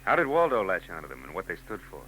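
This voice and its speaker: A man's voice: sits low, wildly swooping pitch, rapid-fire, bright, forceful tone, full character voice, low-quality recording. An actor playing a wry, weary male private eye in a 1940s radio drama, dry and sardonic. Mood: probing. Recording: old radio broadcast with hum.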